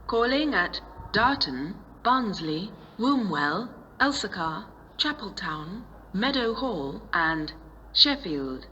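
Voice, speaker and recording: A woman's recorded voice making automated train announcements on a station platform, delivered in a measured, evenly spaced way. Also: mid-range voice, very animated, bright, forceful tone, lo-fi sound. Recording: station ambience, train and wind noise.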